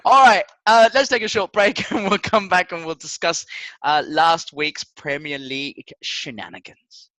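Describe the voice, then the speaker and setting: A man hosting a football podcast with casual, excitable, jokey banter and a halting delivery. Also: a little high, no echo, low-quality recording. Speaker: podcast host.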